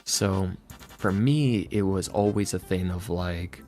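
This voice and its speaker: A man being interviewed on a casual podcast as a guest, speaking in a halting, reflective, self-deprecating way. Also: deep voice, mellow, faint music behind. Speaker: interview guest, ASMR roleplay creator.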